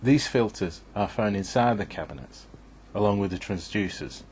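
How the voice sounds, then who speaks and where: A man's voice: fairly low pitch, lively intonation, normal speed, a little mellow, slight background noise. A man giving a scripted, methodical instructional narration in an explanatory way.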